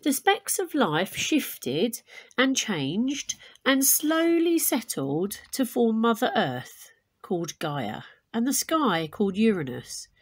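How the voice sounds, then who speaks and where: A woman teacher reading a storybook aloud to pupils in an expressive, deliberate way, adding explanations. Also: on the high side, breathy edge.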